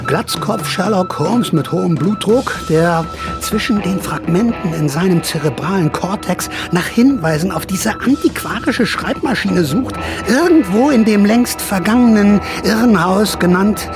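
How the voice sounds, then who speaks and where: An actor playing an excitable, wry old man who narrates a radio play in a chatty, self-mocking, rambling way. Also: high voice, unhurried, music in the background. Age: older. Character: rambling, excitable old male narrator, bald amateur sleuth of his past.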